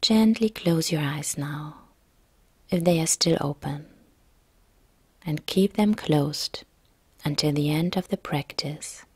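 A woman yoga nidra instructor narrating a guided relaxation meditation in a calm, soft, gentle, breathy way. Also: low-pitched, slow, long pauses, dry recording.

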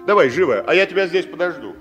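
An actor playing a kindly, jaunty tramp in a vintage radio drama. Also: male voice, on the high side, lively intonation, quick pace, over music, lightly acted. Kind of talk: 1960s radio drama acting.

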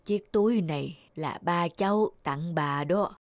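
A woman playing a stubborn, grumbling elderly grandmother with a soft heart in an animated film. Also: on the low side, a little slow. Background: music.

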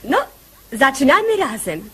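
A woman's voice: high voice, big pitch swings, unhurried, crowd noise. An actress playing a sweet, coy, eager young lady in a radio stage comedy.

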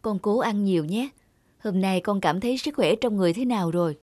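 A woman's voice: medium pitch, brisk, a little mellow, no echo, clean studio sound, lightly acted. A woman voicing a protective, earnest father of a sick child in a cartoon. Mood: concerned. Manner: admonishing, parental, earnest. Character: stern, protective, worried father of a sick boy. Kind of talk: cartoon dubbing. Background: background music.

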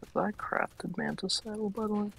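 A man's voice: sits high, a little fast, creaky, a bit bright, slightly rough. A young man chatting casually with his teammate during a game.

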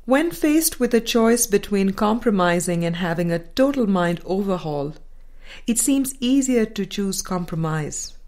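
A woman reading a spiritual book aloud in a measured, deliberate and formal way. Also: mid-range voice, animated, a little mellow. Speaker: audiobook reader.

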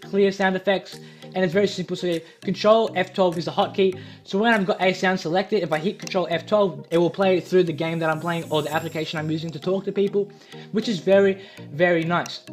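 A young man giving a casual, informal software tutorial, repeating himself as he explains. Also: high-pitched. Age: young adult. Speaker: tutorial video host. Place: small home room. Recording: faint music behind voice.

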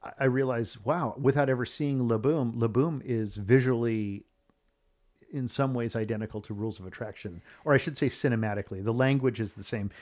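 Older guy rambling happily about old movies in a studio, mid-pitched, keeps quoting what people told him. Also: brisk, darkish tone. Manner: rambling, enthusiastic, quotes himself in conversations. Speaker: filmmaker guest and co-host. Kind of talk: casual chat about movies. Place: podcast studio.

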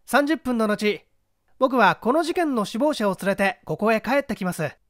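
A woman's voice: fairly high pitch, lively intonation, normal speed, no echo, studio quality, close to an everyday voice. A woman playing a clever, composed teenage boy detective, polite and self-assured, in an audio mystery story. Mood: confident.

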